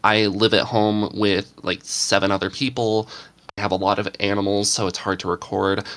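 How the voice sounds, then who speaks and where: Bright-toned joking man. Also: fairly low pitch, level tone, moderate pace. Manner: joking, rambling, lots of fillers. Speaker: podcast guest. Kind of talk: casual group chat.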